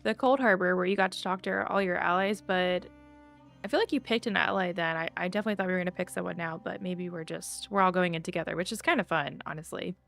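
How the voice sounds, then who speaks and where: Creaky-voiced woman streaming a game. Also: on the low side, steady tone, fast talker, fairly bright tone, soft background music. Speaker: gaming streamer. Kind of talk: casual game commentary and reading dialogue aloud.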